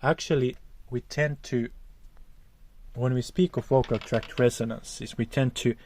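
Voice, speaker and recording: A man's voice: medium pitch, steady tone, unhurried, darkish tone, slight background noise. A man with a Finnish accent teaching vocal technique, explaining acoustics in a careful, hesitant way. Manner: careful, hesitant, searching for words.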